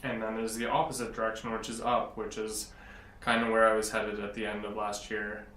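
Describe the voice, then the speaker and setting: Young man, flat low voice, echoey room. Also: fairly quick. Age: 20s. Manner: earnest, rambling, searching for words. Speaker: interviewee, blogger. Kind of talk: casual interview. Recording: echoey room from a distance, faint noise.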